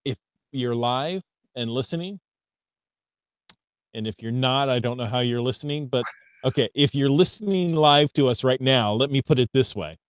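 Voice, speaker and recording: American guy cohosting a podcast, chatty and upbeat, dry close mic, middle pitch. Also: moderate pace, smooth, dry recording. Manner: upbeat, reads listener emails aloud, stumbles on foreign words. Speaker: podcast co-host. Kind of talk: casual podcast chat.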